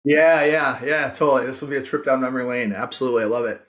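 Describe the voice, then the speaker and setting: A man's voice: mid-range voice, steady tone, quick pace, a bit bright. A man speaking casually and emphatically as a podcast guest, telling stories about his work as a founder in an informal, enthusiastic way. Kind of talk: casual interview storytelling.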